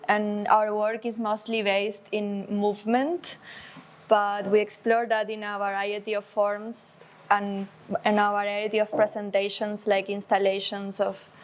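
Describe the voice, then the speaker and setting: A woman from Argentina, an artist with a dance background, presenting a talk to an audience in a friendly, plain way with some hesitant fillers. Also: mid-range voice.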